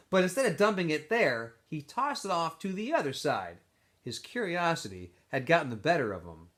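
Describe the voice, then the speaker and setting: A man with a slightly high voice reads a story aloud to students. His delivery is very animated in pitch and expressive, with casual asides to the listeners. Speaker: teacher reading aloud to students.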